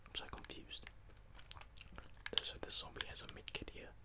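A man speaks entirely in a hushed, breathy whisper, giving ASMR gameplay commentary. His talk is casual and peppered with swearing, like someone narrating his own play as he goes. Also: moderate pace. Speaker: gaming video host.

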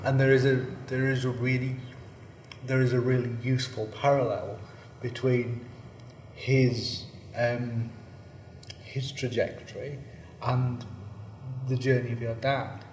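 A man, a theatre director, speaking deliberately with pauses in a panel talk. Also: medium pitch, flat delivery, a little mellow, a little hiss or hum. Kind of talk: panel discussion. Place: large rehearsal room indoors. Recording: roomy echo, faint ambience.

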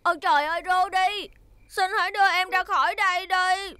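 A woman's voice: very high voice, fairly even intonation, brisk, bright, forceful tone, no room sound. A woman voicing an emotional, childlike little car in a dubbed children's cartoon. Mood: worried.

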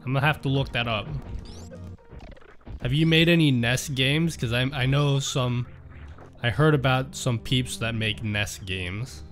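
Slow-talking man chatting on stream. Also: medium pitch, fairly bright tone, soft background music. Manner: reads chat aloud and jokes with viewers. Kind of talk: casual chat while playing a game.

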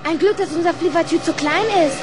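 A woman's voice: extremely high pitch, brisk, heavy background noise. A woman playing an eager, earnest young boy inventor in a children's radio play. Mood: pleased, eager. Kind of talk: 1970s German children's radio play acting.

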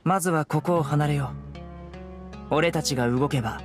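A man voicing a stern young enforcer in an anime game, terse, measured and serious. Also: fairly high pitch, natural voice. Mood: calm, assured. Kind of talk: Japanese anime game voice acting. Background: background music with guitar.

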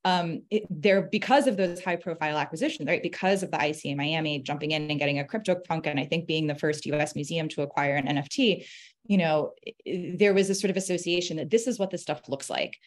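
A woman's voice: low-pitched, quick pace. A woman in her thirties speaking as a curator in a panel discussion, articulate and explanatory, often checking in with "right?". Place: indoor room on a video call.

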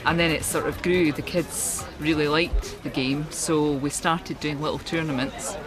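A woman's voice: low voice, steady tone, measured pace, poor audio. A middle-aged woman, talking informally in an interview as a club volunteer. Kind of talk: casual interview. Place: open-sided tent at a sports field. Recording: loud music over outdoor interview.

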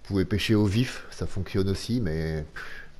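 A man narrating a casual fishing game tutorial, halting often with fillers as he explains. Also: low-pitched, a little mellow, a little hiss or hum.

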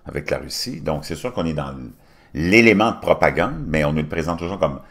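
A man in his fifties with a Québécois accent, reading news articles aloud and commenting on them, bursting into loud emphasis and then dropping to low muttered asides. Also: expressive, brisk. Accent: Québécois French. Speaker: commentary channel host. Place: home studio with virtual backdrop.

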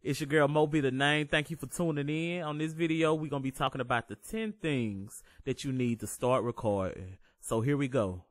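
Casting: man with a deep voice, relaxed slangy tutorial host, swears casually, dry close mic. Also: moderate pace, hardly any pauses, no echo. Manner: laid-back, profane, reassuring. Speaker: home recording tutorial host.

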